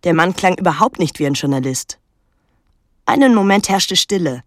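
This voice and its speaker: An actress playing a wary, withdrawn teenage daughter who narrates her island story in a measured, introspective radio drama style. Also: lowish voice, expressive, no echo, clean studio sound, natural voice. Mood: reflective.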